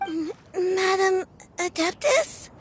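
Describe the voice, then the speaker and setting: A woman voicing a gentle, earnest young child in a video game, shy, hesitant and respectful. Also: very high-pitched, expressive, takes it slowly, background noise, exaggerated voice. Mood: timid. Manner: hesitant, soft-hearted, sincere. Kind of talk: sincere game voice acting.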